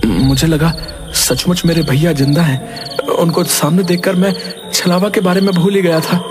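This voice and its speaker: A man's voice: a little high, fairly even intonation, normal speed, close to an everyday voice. A man voicing a solemn, grieving village man in a dramatic horror cartoon. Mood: shaken. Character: grieving younger brother, vengeful village man. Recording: loud music behind.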